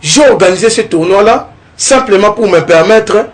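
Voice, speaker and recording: A young man from the Kongo Central region of DR Congo, a political candidate giving a TV interview, talking in a lively way and often tagging his points with a rising question. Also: high-pitched, moderate pace. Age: young adult. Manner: animated, keeps adding "n'est-ce pas?", rising tone on points.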